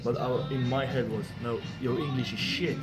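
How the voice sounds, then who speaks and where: A man with a Polish accent chatting casually and agreeably in halting, non-native phrasing. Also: medium pitch, fairly even intonation. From Poland. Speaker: personal trainer, interviewer. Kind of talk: casual chat. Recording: loud music behind the talk. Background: loud instrumental music.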